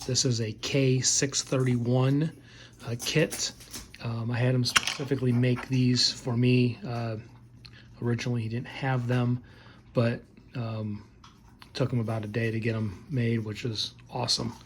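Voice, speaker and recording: A man's voice: medium pitch, flat delivery, a little slow. Casual, hesitant man with background noise. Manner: hesitant, rambling, plain-spoken. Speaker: hobbyist video presenter. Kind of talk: casual unscripted product demo.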